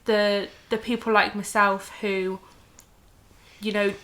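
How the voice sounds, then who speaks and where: A young woman in her twenties with a bright, forceful voice. She chats casually and peppers her sentences with "like". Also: medium pitch, flat delivery, slow. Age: 20s. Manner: chatty, lots of "like" fillers. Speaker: guest, host's sister. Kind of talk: casual chat.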